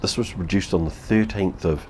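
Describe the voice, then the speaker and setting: A man's voice: low voice, moderate pace, warm tone, slight background noise. A New Zealand man in his fifties reviewing beer at home on camera, blunt and sweary, repeating himself as he talks. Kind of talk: casual vlog review. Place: home room with TV behind.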